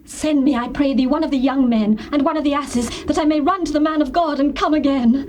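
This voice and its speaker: A woman's voice: sits high, fairly quick, a little mellow, natural voice. An actress playing the devout Shunammite woman, speaking earnestly in a dramatized scripture recording with faint tape hiss. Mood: urgent. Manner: earnest, pleading.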